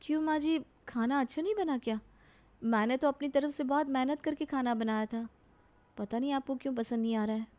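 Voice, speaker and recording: A woman's voice: high voice, a little fast, darkish tone, lightly acted. A woman playing a dutiful, put-upon young city daughter-in-law in a cartoon family story, polite, earnest and a little plaintive. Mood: puzzled, hurt. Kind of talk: Hindi animated family story acting.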